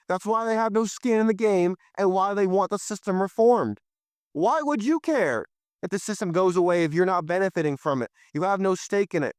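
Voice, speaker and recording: Young male podcast host, hoarse and fired up, clear high voice, dry sound. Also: darkish tone, no room sound. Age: young adult. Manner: impassioned, preachy, addresses listeners directly. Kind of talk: solo political commentary.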